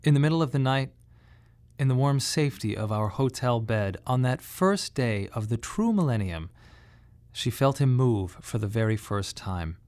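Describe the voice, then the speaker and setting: A man's voice: mid-range voice, lively intonation, normal speed, darkish tone, dry recording, close to an everyday voice. An actor playing a weary, bereaved father who tells his story in a reflective, wry radio drama monologue. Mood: wry, bitter.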